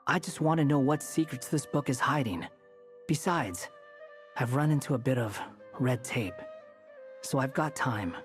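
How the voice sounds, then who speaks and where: A man's voice: mid-range voice, expressive, measured pace, long pauses, soft background music, lightly acted. A man voicing an aloof young wanderer in a video game, dry, curt and sardonic. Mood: probing. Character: aloof, sardonic young male wanderer turned storybook stray cat.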